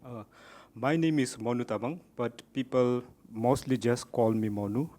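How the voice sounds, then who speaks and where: A man from Bhutan, a graduate student, giving a prepared self-introduction in a steady manner. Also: mid-range voice, normal speed.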